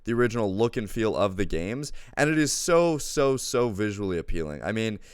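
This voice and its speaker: Chill lowish voiced guy gushing about a movie, super clean sound, says dude a lot. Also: sing-song, fairly quick, clean tone, dry recording, studio quality. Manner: enthusiastic, says dude often. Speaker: film reviewer. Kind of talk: casual chat movie review.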